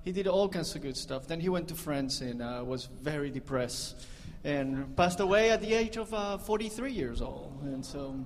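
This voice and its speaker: A man's voice: a little high, lively intonation, normal speed, hardly any pauses, slight background noise. A man leading a band, chatting and joking with the audience between songs on a concert stage. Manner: joking, chatty, laughs at own jokes. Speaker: band leader. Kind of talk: onstage banter between songs. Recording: live concert hall reverb.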